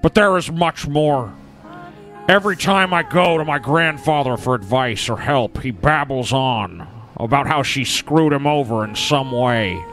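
A man on a podcast reads a written post out loud. His voice sits at an ordinary mid pitch, as a co-host sharing text with the others. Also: a little slow, soft background music. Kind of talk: reading a written post aloud.

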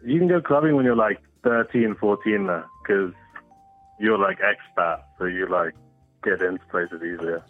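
A man's voice: sits low, moderate pace, faint music behind. A man in his thirties who grew up in Singapore, calling in to a podcast, laid-back and slangy, laughing easily. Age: 30s. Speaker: caller. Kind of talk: casual chat by phone. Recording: tinny dry phone line.

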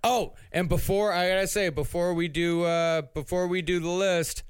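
A young man co-hosting a casual comedy podcast, making wry, deadpan asides. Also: high-pitched, normal speed, smooth, dry recording, studio quality. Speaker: podcast co-host.

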